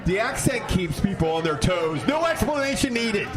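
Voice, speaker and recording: A man's voice: high-pitched, sing-song, moderate pace, over music, pitched above the performer's own voice. A man playing a flippant, theatrical male detective in a comic radio play. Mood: flippant, defensive. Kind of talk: scripted comic radio acting.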